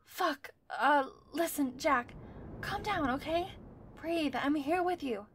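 A woman's voice: high voice, slow, a bit bright, slight background noise, exaggerated voice. A woman playing an anxious, weary but loyal young survivor in an audio drama, earnest and pleading. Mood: soothing, pleading.

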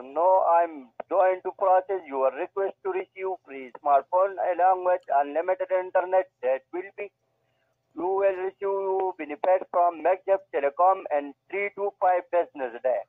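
A man's voice: high-pitched, normal speed, no room sound. A man working as a telemarketer, reading through a scripted sales call over the phone. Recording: dull, dry phone call audio.

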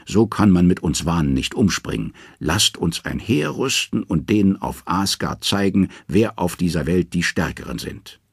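A man's voice: low voice, lively intonation, moderate pace, creaky, hardly any pauses, slightly rough, dry recording, clean studio sound, full character voice. A man voicing a band of aggrieved gods eager for war in a storybook reading, indignant and defiant.

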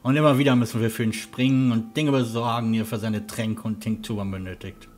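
A man playing a calm, friendly hunter and guide in a fan-made fantasy audio drama. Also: on the low side, brisk, natural voice. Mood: practical. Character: friendly, down-to-earth male hunter guide Jannis.

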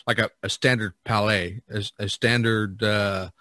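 A man hosting a podcast, chatting casually and haltingly, often repeating words. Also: lowish voice, steady tone, bright, forceful tone, dry recording. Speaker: podcast host. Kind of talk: casual chat.

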